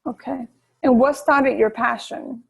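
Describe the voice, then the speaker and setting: A woman's voice: on the high side, fairly even intonation, unhurried, gravelly, slightly rough, rough recording. A woman interviewing someone in a casual chat over a video call.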